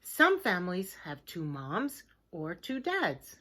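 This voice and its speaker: A woman reading a children's picture book aloud like a teacher, in a deliberate, expressive way, with hushed chatty asides to her listeners. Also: lowish voice. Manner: slow, expressive, simple deliberate phrasing.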